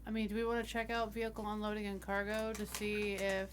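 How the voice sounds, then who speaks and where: A woman's voice: medium pitch, monotone, fast talker, slight background noise. A woman playing a tabletop roleplaying game and chatting casually with the group.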